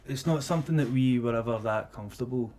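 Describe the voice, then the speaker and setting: A man's voice: medium pitch, normal speed, darkish tone. A Scottish man co-hosting a podcast in casual, teasing, sweary banter. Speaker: podcast co-host. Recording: clear background noise, clicks.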